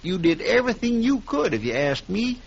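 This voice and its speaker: A man's voice: high-pitched, big pitch swings, moderate pace, creaky, heavily acted. A man playing an earnest, loyal marshal's helper in a 1950s radio western, deferential, with folksy country speech. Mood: consoling. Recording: muffled old 1950s radio recording.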